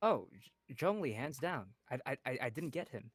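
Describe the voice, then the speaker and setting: A man's voice: medium pitch, very animated, moderate pace, breathy edge, dry recording, clean studio sound. A man, a voice actor guest, chatting playfully in a casual group conversation.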